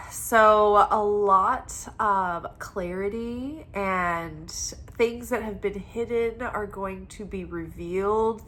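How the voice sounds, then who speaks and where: A woman giving a weekly tarot reading in a soft, meandering, spiritual way, her voice stuffed up and congested from crying. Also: medium pitch. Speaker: tarot reader.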